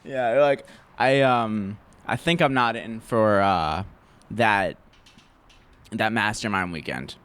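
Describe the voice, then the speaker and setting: A man co-hosting a casual podcast, with a gentle voice and a reflective, somewhat hesitant way of talking. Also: lowish voice, takes it slowly, clear, resonant tone. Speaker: podcast co-host. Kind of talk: casual chat.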